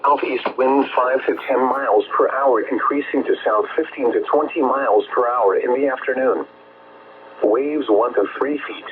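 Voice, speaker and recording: Through a muffled radio speaker with clear noise, a male announcer reads a scripted forecast. His pitch is average for a man. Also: normal speed. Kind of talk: scripted weather forecast readout. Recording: noisy muffled weather radio broadcast.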